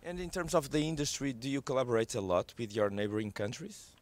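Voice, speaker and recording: A man's voice: medium pitch, fairly even intonation, quick pace. A man interviewing a group of artists at an event, chatty and hesitant, with many fillers and restarts. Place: event venue.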